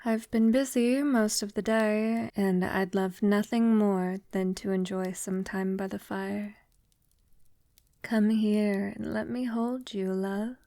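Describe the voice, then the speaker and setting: Slow, tender vampire lover, played by a woman. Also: medium pitch, fairly even intonation, no echo. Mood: tender, welcoming. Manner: soft, tender, unhurried, often breathy near-whisper; formal, elegant wording. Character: affectionate centuries-old female vampire lover, refined and vulnerable. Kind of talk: intimate ASMR girlfriend audio roleplay.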